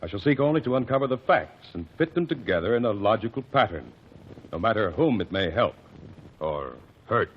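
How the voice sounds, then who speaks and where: An actor playing a calm, methodical man who cross-examines witnesses in court, speaking formally and precisely, in 1940s radio acting. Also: lowish voice, slightly rough, full character voice. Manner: measured, formal, precise diction. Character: calm, methodical male courtroom lawyer-detective. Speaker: amicus curiae lead. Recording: dull old radio broadcast, faint hiss.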